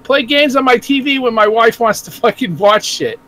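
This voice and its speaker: Man with a slight southern american accent, high pitch, bright sharp tone, profane, laughing chat. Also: animated, moderate pace. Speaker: podcast co-host. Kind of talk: casual chat.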